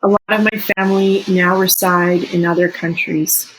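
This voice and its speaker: A Canadian-born woman in her forties whose first language is Serbo-Croatian, reading a personal reflection in an earnest, measured way. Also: lowish voice, flat delivery, a bit bright, breathy edge, low-quality recording. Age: 40s. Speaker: teacher sharing a personal reflection.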